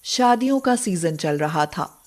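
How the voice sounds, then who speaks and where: Slow, low-voiced woman storyteller. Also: lively intonation. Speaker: narrator. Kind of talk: scripted storybook narration.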